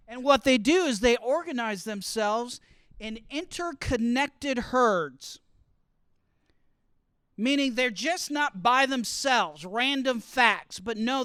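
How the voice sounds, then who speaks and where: A man giving a lively teaching talk to students, often asking the room questions as he explains. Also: extremely high pitch, clear, resonant tone, no room sound, studio quality. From grew up partly in Costa Rica. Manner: asks the audience questions, explains with extended metaphor. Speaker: college speaker teaching study skills. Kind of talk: interactive classroom talk.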